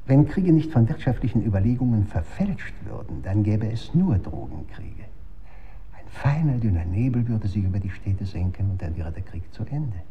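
A morbid, cynical man of science with a fairly low voice, delivering radio-drama lectures in with cool relish. The recording is slightly muffled, with faint noise underneath. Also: very animated, moderate pace. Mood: dreamy menace. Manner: lecturing, savours technical terms, cynical. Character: man coolly relishing chemical weapons, pedantic morbid expert. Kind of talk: German radio drama acting. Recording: dull, noisy old radio recording.

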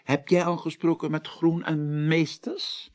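An actor playing an authoritative president heading an investigation in a radio drama, insistent and given to repeating himself. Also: male voice, fairly high pitch, flat delivery, unhurried, lightly acted. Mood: brisk, assertive.

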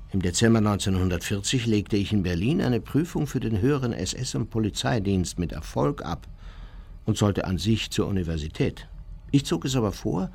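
An actor playing an unrepentant Nazi war criminal recounting his memoirs in a measured, matter-of-fact way for a radio play. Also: fairly low pitch, vocal fry, a little mellow, slightly rough, exaggerated voice.

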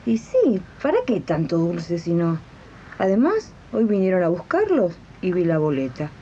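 A woman's voice: fairly low pitch, sing-song, normal speed, warm tone, lo-fi sound, slight background noise. A woman acting an indignant, determined housemaid in a radio drama, with an Argentine accent and a colloquial, questioning way of talking, on a late-1980s recording. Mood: indignant, resolved. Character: indignant, determined housemaid who makes jam on the side. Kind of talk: radio drama dialogue and narration.

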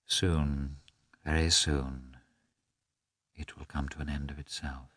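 A man reading an audiobook, voicing a despairing young wife who speaks softly and in whispers. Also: low voice, moderate pace, no room sound, clean studio sound. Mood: hushed. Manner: soft, whispered, hushed. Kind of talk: audiobook character voice.

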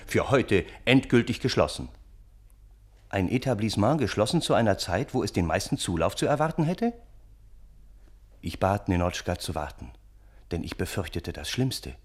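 Low-voiced, courteous gentleman narrator. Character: smitten, courteous gentleman friend who narrates the tale. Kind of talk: literary first-person radio play narration.

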